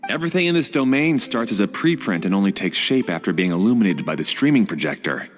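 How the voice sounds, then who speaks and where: A man's voice: lowish voice, expressive, quick pace, close to an everyday voice. An actor playing a composed, knowledgeable man guiding adventurers in a video game, explaining things casually. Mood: explaining, focused. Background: music.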